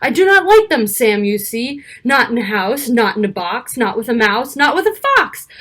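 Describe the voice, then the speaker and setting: A woman reading a rhyming children's picture book aloud in an expressive, rhythmic way. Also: fairly high pitch, moderate pace. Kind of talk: animated children's story read-aloud.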